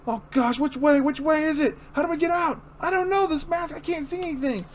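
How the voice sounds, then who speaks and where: A man's voice: extremely high pitch, a little fast, slight background noise, pitched above the performer's own voice. A man voicing a panicky, hapless man trapped in a stifling mask, stammering frantic pleas in a comic-book dramatic reading. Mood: terrified.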